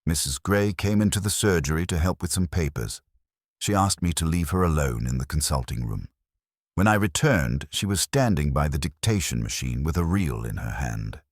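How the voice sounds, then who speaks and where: A man's voice: rumbling low, animated, moderate pace, dry recording, clean studio sound. A man voicing a neat, hesitant woman giving evidence in a mystery audiobook, in a careful manner. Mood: uneasy. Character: neat, hesitant female surgery assistant witness.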